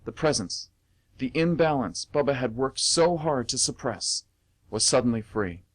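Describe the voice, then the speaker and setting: A man's voice: mid-range voice, expressive, unhurried. A man reading dramatic story narration as the narrator of an amateur radio play.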